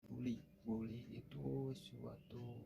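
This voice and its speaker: A man's voice: medium pitch, flat delivery, slow pace, creaky, noisy background. A man telling his personal story in a casual, rambling way. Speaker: interviewee.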